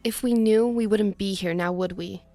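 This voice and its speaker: A woman's voice: mid-range voice, fairly even intonation, normal speed, warm tone, dry recording, natural voice. A woman playing a hostile, sarcastic member of a gang in an audio drama, with a biting delivery. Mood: sarcastic, irritated. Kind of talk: scripted audio drama acting.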